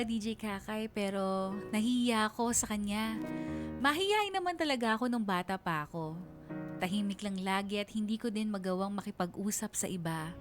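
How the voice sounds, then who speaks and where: Actress as a bashful Filipina storyteller over music. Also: female voice, mid-range voice, close to an everyday voice. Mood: wistful, bashful. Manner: confiding, self-mocking. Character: bashful young woman recalling embarrassing childhood memories. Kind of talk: dramatized radio story narration.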